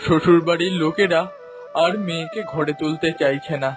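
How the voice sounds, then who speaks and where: A man's voice: on the high side, fairly even intonation, normal speed, music in the background, lightly acted. A man playing a humble, elderly village washerman in a cartoon, speaking in a deferential, plaintive way. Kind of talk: Bengali cartoon voice acting.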